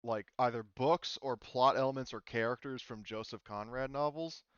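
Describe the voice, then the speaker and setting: A man's voice: mid-range voice, moderate pace, smooth, no echo, lo-fi sound. A man casually talking a group through a tabletop game as game master, explanatory and given to casual swearing.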